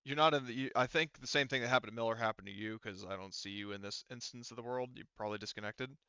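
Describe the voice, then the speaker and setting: A man running a tabletop roleplaying game as game master, talking haltingly and thinking aloud as he works through the rules. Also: mid-range voice, sharp tone, smooth, dull audio.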